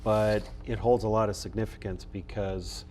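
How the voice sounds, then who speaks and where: Low-voiced coach, humming background. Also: male voice, fairly even intonation, moderate pace, a little mellow, a little hiss or hum. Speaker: college golf coach, interview guest. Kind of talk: casual interview. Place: studio.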